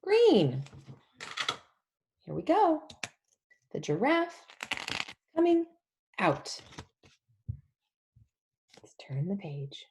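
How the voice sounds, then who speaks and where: A woman leading an interactive storybook read-along for young children, animated and emphatic, using simple repeated phrases and questions. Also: mid-range voice, very slow, long pauses, background noise. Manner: animated, emphatic, often drops to a whisper.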